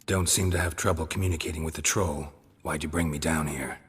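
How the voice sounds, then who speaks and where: An actor playing a stoic, dry-witted monster hunter in a video game, terse and deadpan with a husky voice. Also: male voice, fairly quick, full character voice. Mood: dry, guarded. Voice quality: very deep, husky, gravelly. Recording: long echoing hall reverb.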